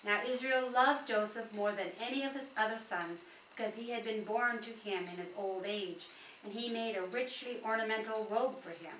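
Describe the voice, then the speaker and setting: A woman's voice: medium pitch, fairly bright tone. A woman reading scripture aloud with informal asides, recorded at a distance in a roomy space with faint noise. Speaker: Bible reader.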